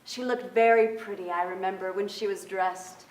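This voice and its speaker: An actress playing a reflective narrator of family memories, reading in a theatrical literary style. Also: female voice, medium pitch, steady tone, normal speed, darkish tone, distant mic, close to an everyday voice. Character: reflective narrator recalling an older sister. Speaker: stage actor in a staged reading. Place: theatre stage. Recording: echoey theatre, picked up from afar.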